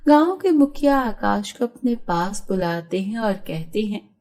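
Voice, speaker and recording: A woman's voice: mid-range voice, lively intonation, measured pace, a little mellow. A woman narrating a scripted moral story for children.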